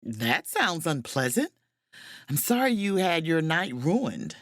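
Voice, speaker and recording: An actress playing a wry, self-mocking adventurous officer, narrating and speaking in a first-person audio drama in a confiding, playful way. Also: female voice, low-pitched, very animated, slow pace, no echo, clean studio sound, full character voice. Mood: friendly.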